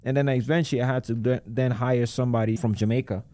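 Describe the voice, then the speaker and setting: A man who runs a credit repair business, talking casually in an interview and acting out his callers in quick voices. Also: mid-range voice, steady tone, warm tone, no echo. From United States.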